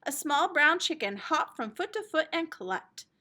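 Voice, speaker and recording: This is a woman reading a picture book aloud like a teacher to young children. Her voice is high and bright, and she tells the story expressively, with very lively pitch movement. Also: a little slow.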